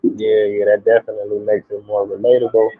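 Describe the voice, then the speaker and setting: A man's voice: lowish voice, completely flat delivery, brisk, no room sound. A man hosting a podcast, politely acknowledging answers and asking the next question. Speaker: podcast host. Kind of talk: interview questions. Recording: dry phone-like line.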